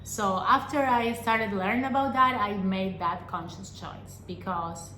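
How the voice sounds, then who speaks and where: A young adult woman from Argentina, a vlogger giving an earnest personal talk to camera, pausing often and asking rhetorical questions. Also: mid-range voice, bright, forceful tone, a little hiss or hum. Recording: echoey room with faint ambience.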